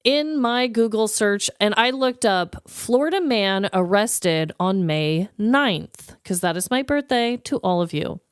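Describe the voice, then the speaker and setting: A woman's voice: medium pitch, animated, measured pace, hardly any pauses, clear, resonant tone, dry recording, studio quality. A woman co-hosting a comedy podcast, chatting casually in a playful, slangy, giggly manner. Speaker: podcast co-host.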